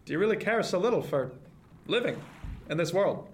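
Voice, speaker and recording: A man's voice: sits high, lively intonation, a little fast. A man playing a guarded, ambitious 18th-century first mate in a radio drama, with a formal, restrained manner. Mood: stern, dismissive. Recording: clear background noise, ship ambience.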